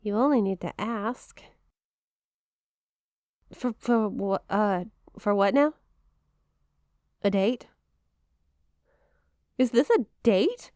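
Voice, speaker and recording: A woman's voice: mid-range voice, very slow, pauses often, dark tone, dry recording, clean studio sound. A woman playing a shy, playful young eldritch monster classmate in an intimate ASMR roleplay, teasing and hesitant, with a flustered stammer. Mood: surprised, flustered. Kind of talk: ASMR roleplay, intimate one-sided conversation.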